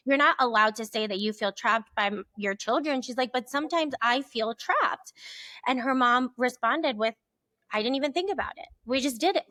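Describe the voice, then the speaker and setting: A woman's voice: sits high, bright, forceful tone, dry recording. Chatty woman podcast host, dry close sound. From United States. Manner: chatty, lots of "like". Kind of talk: casual interview chat.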